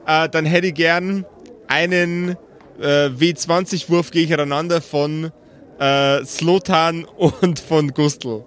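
Higher-pitched man narrating as game master. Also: fairly even intonation, slow, fairly bright tone. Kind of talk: improvised tabletop game narration.

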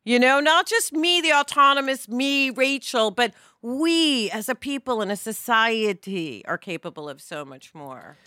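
Bright, high-voiced middle-aged American woman podcaster. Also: expressive, a little slow, few pauses, dry recording, studio quality. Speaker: podcast host. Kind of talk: podcast discussion.

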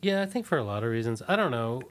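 A middle-aged man from Washington DC answering casually as an interview guest, tentative and halting. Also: mid-range voice, very animated. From Washington DC, United States. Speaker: interview guest, punk musician.

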